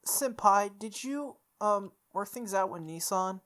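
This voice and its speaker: A woman's voice: medium pitch, animated, a little slow, fairly bright tone, pitched above the performer's own voice. A woman voicing a timid but obstinate teenage girl in a visual novel, hesitant and earnest. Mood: hesitant, careful.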